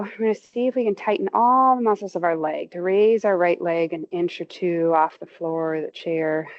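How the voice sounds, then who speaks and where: A woman leads a guided muscle relaxation with slow, soothing cues, her voice rising with emphasis each time she calls for tension. Her tone carries a gravelly fry, and the recording sounds somewhat muffled. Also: mid-range voice. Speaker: movement instructor.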